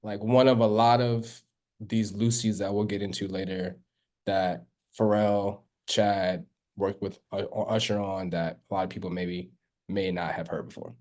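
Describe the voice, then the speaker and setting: A man co-hosts a podcast in a laid-back, casual chat. He talks very slowly, drawing out his words, and his tone is dark and mellow. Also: fairly low pitch, little pitch movement. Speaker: podcast co-host.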